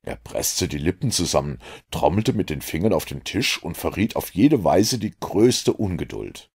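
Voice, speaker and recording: A man with a very low, gravelly voice narrates an audiobook. His reading rises and falls with lively expression, and a husky grain runs through each line. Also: moderate pace.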